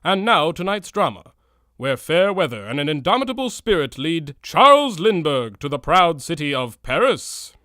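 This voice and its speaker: A peppy vintage radio pitchman with a forceful, bright tone. His grandiose delivery swoops up and down, and the sound is dry and close. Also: very animated, moderate pace, no echo. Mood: grand, peppy. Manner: grandiose, salesmanlike. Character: polished, peppy male 1920s radio announcer. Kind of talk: old-time radio sponsor announcing.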